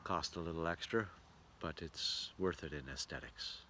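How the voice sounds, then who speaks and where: A man's voice: a bass voice, moderate pace, pushed and bright. A man chatting casually to camera as a travelling entertainer keeping a video diary. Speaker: touring radio-show entertainer and vlogger. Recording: outdoor recording with clear background noise.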